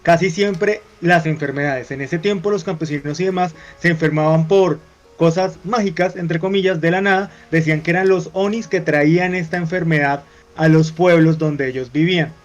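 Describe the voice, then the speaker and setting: A man from Colombia, co-hosting a talk show, explaining at length with drawn-out hesitations. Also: a little high, faint music behind. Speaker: co-host. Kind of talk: casual panel chat. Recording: dull lo-fi sound.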